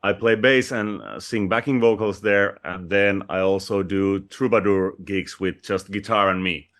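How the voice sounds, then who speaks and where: Finnish-accented man, low voice. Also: fairly even intonation, moderate pace, fairly bright tone. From Finland. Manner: friendly, with restarts and light laughs. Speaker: musician guest. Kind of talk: casual interview chat.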